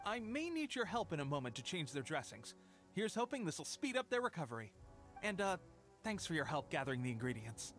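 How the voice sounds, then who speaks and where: A man voicing an eager, boastful young resistance soldier in a video game, earnest, animated and friendly. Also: on the high side, a little fast, soft background music. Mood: helpful. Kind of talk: scripted game dialogue.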